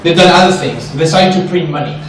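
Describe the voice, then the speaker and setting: A man with a French accent gives an animated investment seminar to an audience, often checking in with "right?". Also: fairly high pitch, fairly quick, slight background noise. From France. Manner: animated, asks the audience questions, frequent "right?". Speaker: investment specialist presenter. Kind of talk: seminar presentation. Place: large echoey room with an audience. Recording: echoey room from far off.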